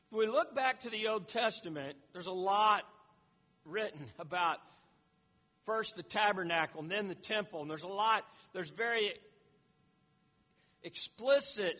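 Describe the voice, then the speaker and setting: A man's voice: sits high, pushed and bright, in a large hall, highs cut off. A man teaching a sermon in an explanatory way, often repeating words and restarting phrases. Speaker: preacher.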